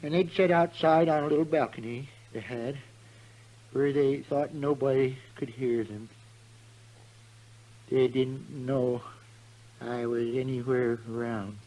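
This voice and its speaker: A man's voice: mid-range voice, fairly even intonation, slow pace, long pauses, warm tone, low-quality recording. An actor playing a frail, tired old man who tells his tale in a folksy drawl, in a 1950s western radio drama. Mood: weary, confiding. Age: older. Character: weary old man, proud tall-tale teller, dying. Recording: vintage 1950s radio recording.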